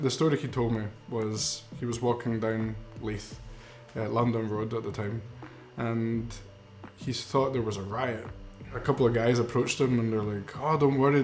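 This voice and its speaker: Earnest young man over soft guitar. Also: medium pitch. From Mexico. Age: 20s. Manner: earnest, reflective, with some fillers. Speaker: filmmaker. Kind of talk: documentary narration. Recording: roomy with music underneath.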